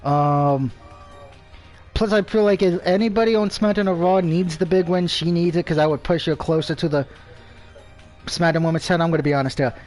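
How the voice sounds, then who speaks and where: Guy streaming a game, kinda high voice, rambles and cusses, speech impediment, bit of music under him. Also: a little fast, a little mellow. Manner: swearing, rambling, opinionated. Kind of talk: casual live stream commentary while gaming.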